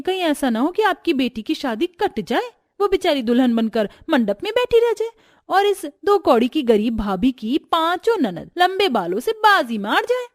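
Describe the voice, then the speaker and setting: A woman's voice: very high-pitched, no echo, clean studio sound, pitched above the performer's own voice, full character voice. A woman voicing a jealous, spiteful young village schemer in a taunting, needling manner for a family story. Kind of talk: Hindi family moral-story acting.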